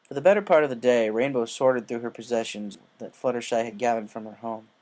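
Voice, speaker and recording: A man's voice: mid-range voice, brisk, a little mellow. A man reading scripted fan fiction as a storybook narrator. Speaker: audiobook narrator.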